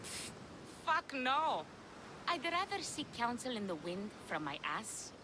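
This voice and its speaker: Brash seafaring rogue woman for an ancient-world game; lively swings in pitch, blunt swagger, clear background noise. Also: slow. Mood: brash, mocking. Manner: blunt, brash, salty swearing. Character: gruff, foul-mouthed, swaggering female pirate captain of ancient Greece. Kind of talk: video game dialogue acting.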